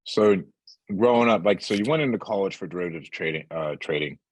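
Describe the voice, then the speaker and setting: A male podcast host with a lowish voice speaks quickly in a casual interview. His talk is slangy and peppered with curses, and the sound is dry and close. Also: animated, no echo, low-quality recording. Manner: slangy, bro-heavy, swearing.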